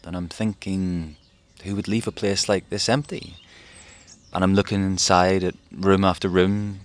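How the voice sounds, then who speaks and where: An actor playing a haunted fugitive physicist in a wartime audio drama, musing hesitantly as if recording a diary. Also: male voice, low voice, a bit bright. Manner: hesitant, musing, with sighs and broken phrases. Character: haunted, rambling fugitive physicist, man living through a war.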